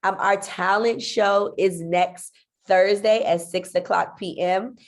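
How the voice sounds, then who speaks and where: A woman's voice: medium pitch, steady tone, slow pace. A woman with blocked sinuses, her voice stuffy and nasal. She leads an online meeting for parents, friendly and full of ums as she goes. She speaks into a computer mic on a video call. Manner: friendly, filler-heavy with frequent ums. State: congested, nasal. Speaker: school principal.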